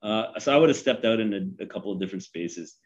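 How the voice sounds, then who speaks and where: A man's voice: fairly low pitch, expressive, fast, lo-fi sound. A man in his forties, from Sri Lanka, giving advice in a casual interview, talking with many fillers and restarts. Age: 40s. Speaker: guest.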